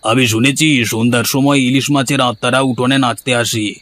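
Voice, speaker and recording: A man's voice: medium pitch, fairly even intonation, normal speed, a bit bright, lightly acted. A man playing a villager who passes on eerie local tales in a scripted cartoon. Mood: mysterious.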